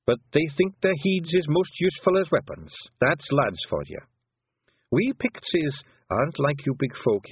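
Brief: man putting on an old Scottish fairy matriarch, wry and fading, lifted pitch, husky tone, tinny dry phone-like sound. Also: no room sound, exaggerated voice. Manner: homely Scots brogue, fond and teasing. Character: tiny old fairy clan mother, dying, wry. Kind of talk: audiobook character voice. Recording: muffled phone-like dry recording.

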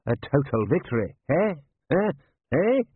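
A man's voice: on the high side, very animated, a little slow, slightly rough, no echo, pitched above the performer's own voice, exaggerated voice. A man voicing a pompous, blustering aristocratic army commander with haughty, repetitive speech in an audiobook. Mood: pompous, boastful. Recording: thin, band-limited, phone-like sound.

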